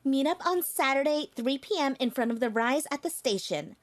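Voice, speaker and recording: Casting: actress for haughty mean-girl bully in an anime-style cartoon, high voice, lively intonation, condescending and domineering. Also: moderate pace. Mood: threatening.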